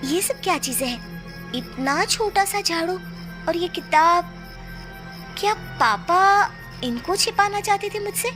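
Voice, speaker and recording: A woman playing a curious, easily frightened young daughter in an animated horror story, with dramatic, exclamatory delivery. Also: extremely high pitch, unhurried, pauses often, music in the background. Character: frightened, curious young daughter who discovers magic powers.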